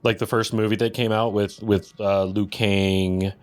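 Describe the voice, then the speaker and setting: A man's voice: fairly low pitch, flat delivery, measured pace. A man co-hosting a podcast, chatting casually and informally about games. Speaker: podcast co-host. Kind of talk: casual gaming chat.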